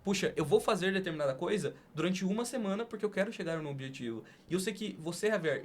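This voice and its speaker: High-voiced male podcast interviewer. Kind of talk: casual interview chat.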